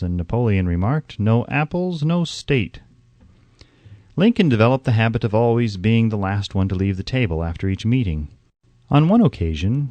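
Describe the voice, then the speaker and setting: A man delivers scripted audiobook narration in a medium-pitched voice with plenty of melodic movement. His delivery is measured and deliberate, and the recording is dry and close, free of room sound. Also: darkish tone, dry recording.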